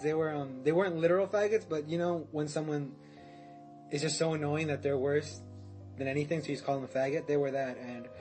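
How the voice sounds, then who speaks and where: A man's voice: a little high, darkish tone. A young man guesting on a podcast, telling a story in casual chat, rambling, restarting and swearing, with laughs mixed in.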